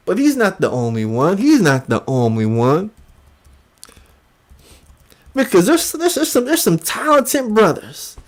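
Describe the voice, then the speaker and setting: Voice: medium pitch, huge pitch swings, measured pace, long pauses. A livestream host giving casual commentary in an emphatic way, often repeating phrases for emphasis.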